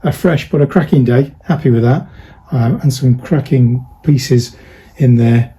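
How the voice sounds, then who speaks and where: A man's voice: mid-range voice, measured pace, warm tone, slightly rough. A man chatting casually while packing orders, rambling and thinking aloud with lots of fillers. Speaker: online parts seller. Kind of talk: casual behind-the-scenes vlog chat.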